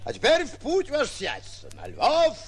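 An actor playing a boastful, naively awestruck provincial lion hunter in a 1940s radio play, theatrical and flustered. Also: male voice, extremely high pitch, huge pitch swings, moderate pace, full character voice. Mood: excited. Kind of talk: 1940s stage-style radio acting. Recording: muffled vintage 1940s radio recording.

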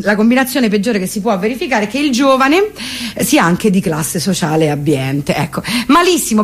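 A woman performing comic cabaret, satirical song and monologue in a theatrical, ironic, mock-solemn manner. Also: fairly high pitch. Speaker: comic singer and stage performer. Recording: music behind the voice. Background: plucked guitar accompaniment.

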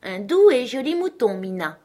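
A woman's voice: a little high, normal speed, pitched above the performer's own voice, natural voice. A woman voicing a sweet, eager and generous little girl in a storybook reading. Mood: reproachful, pleading.

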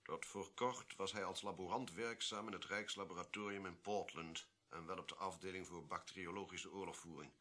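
Een acteur die in een hoorspel een beleefde, gezaghebbende legerkolonel speelt die beheerst dingen uitlegt. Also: male voice, deep voice, steady tone, brisk, hardly any pauses, pushed and bright. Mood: grave. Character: smooth-talking, authoritative army colonel from the ministry. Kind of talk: radio play acting.

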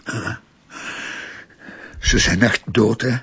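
An actor playing an older father-in-law who speaks haltingly and stumbles over his words. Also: on the low side, gravelly, fairly bright tone, husky, exaggerated voice. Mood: shaken. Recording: dull lo-fi TV soundtrack.

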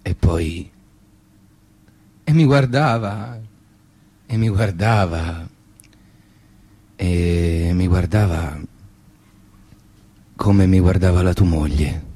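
An actor playing a dazed dead man in a tragicomic stage piece, husky, slow and repeating himself. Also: low-pitched, warm tone, exaggerated voice. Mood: dazed, defensive. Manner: slow, repetitive.

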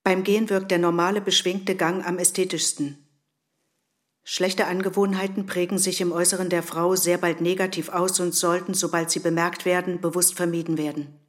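An actress playing a radio play narrator who recites screen directions and etiquette rules in a measured, declarative way. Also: female voice, fairly low pitch, level tone, close to an everyday voice.

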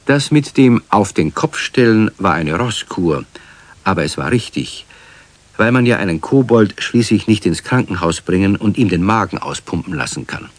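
A man's voice: lowish voice, animated, moderate pace, no room sound. A man narrating a children's radio play as a wry, genial storyteller. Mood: wry narration.

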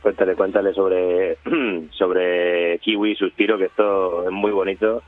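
A man with a low voice describes a radio documentary, hesitant and restarting often. The sound is thin and phone-like. The room is dry. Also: level tone, measured pace, dry recording, soft background music. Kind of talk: interview talk. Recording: phone-like line.

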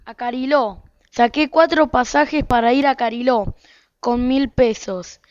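A woman's voice: high voice, measured pace, dry recording. A woman playing an easygoing husband and father in an amateur radio drama. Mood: matter-of-fact. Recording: muffled, noisy lo-fi home recording.

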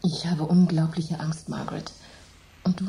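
An actress in a German radio drama playing a terrified, fatalistic woman who speaks haltingly, often slipping into a whisper. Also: low-pitched, steady tone, dark tone. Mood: frightened, hushed. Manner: halting, breathy whispers and repeated words. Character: terrified, fatalistic woman trapped by eerie forces.

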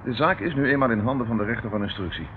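Actor as a dry police inspector, muffled recording. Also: male voice, mid-range voice, lightly acted. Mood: firm, composed. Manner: dry, sardonic, measured. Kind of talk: Dutch radio play acting. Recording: muffled, hissy old radio recording.

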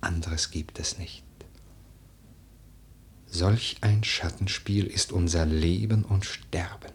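An actor playing a brooding, eloquent, lordly widower in a literary radio play, with lyrical, deliberate, declamatory delivery. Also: male voice, low voice, slight background noise. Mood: wondering, pensive. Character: brooding, eloquent widower, poetic and lordly, drawn to a young woman.

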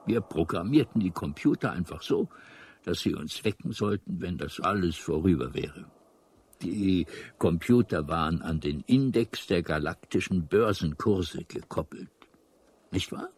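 An actor playing an elderly, absent-minded alien in a radio play, hesitant, rambling and formal, apologetic yet mildly ominous, with a husky voice. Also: male voice, sits low, expressive, measured pace, darkish tone, full character voice.

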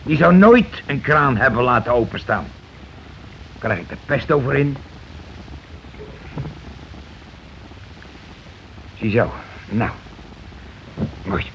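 A man's voice: mid-range voice, expressive, a little slow, long pauses, fairly bright tone. A man acting in a radio play as a bossy, blustering working-class husband who argues colloquially and keeps demanding agreement. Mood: indignant, blustering. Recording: dull, noisy old radio recording.

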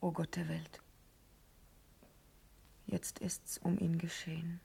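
An actress playing a tender, urgent young princess in classical verse radio drama, breathy and emotional. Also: female voice, lowish voice, completely flat delivery, slow pace, mellow, exaggerated voice. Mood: fearful. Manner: emotional, often dropping to a whisper.

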